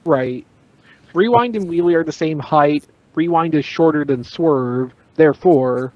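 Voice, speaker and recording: A man's voice: a little high, measured pace, no room sound, highs cut off. A man talking as a panelist on a podcast, in casual conversation. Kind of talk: casual chat.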